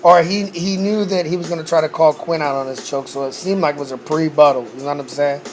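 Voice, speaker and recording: A man's voice: on the high side, fairly even intonation, fast, soft background music. A man who says he is losing his voice, recapping a rap battle in a casual, profane way and often saying "you know what I'm saying".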